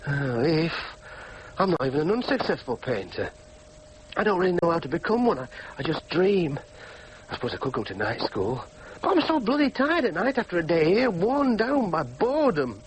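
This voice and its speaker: Moody young yorkshire lad in a drama, frustrated dreamer, voice goes up and down a lot, bit of noise behind. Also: male voice, fairly high pitch, big pitch swings, moderate pace, poor audio. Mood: brooding, wistful. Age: young adult. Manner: argumentative, brooding. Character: frustrated young working-class dreamer, sullen and self-doubting son. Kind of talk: naturalistic drama acting with monologue. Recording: faint background noise.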